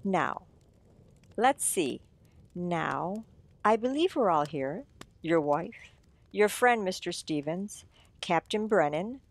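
Woman acting a low-voiced, theatrical mystery writer. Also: animated, slow pace, pauses often, dry recording, heavily acted. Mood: suave, teasing. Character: suave, theatrical mystery writer unveiling a murderer. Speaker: audio drama actor. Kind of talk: old-time radio mystery narration.

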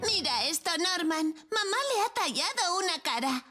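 Very high-pitched, cheeky cartoon child. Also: female voice, lively intonation, normal speed, over loud music. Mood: teasing, confident. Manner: bright-spirited, teasing, exclamatory. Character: confident, cheeky young child, playful and competitive.

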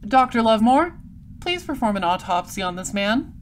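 An actress playing a sardonic, self-assured space detective and narrator, with a dry, businesslike delivery. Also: female voice, fairly high pitch, sing-song, moderate pace, slight background noise. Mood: wry. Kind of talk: audio drama acting and first-person narration.

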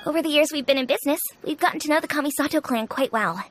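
An actress playing a friendly, talkative young restaurant owner in a video game, speaking in a confiding way. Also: female voice, high voice, racing, a little hiss or hum, natural voice. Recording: game audio with music bed.

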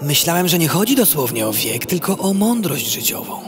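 A man's voice: on the high side, big pitch swings, fairly quick, in a large hall. An actor playing an ambitious young male mosquito politician in an audio drama, formal, polite and precise. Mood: insistent, measured.

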